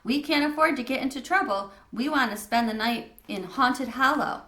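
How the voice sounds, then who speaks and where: Woman as a bossy young camp girl, raised pitch. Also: normal speed, sharp tone, reverberant, slight background noise. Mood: firm.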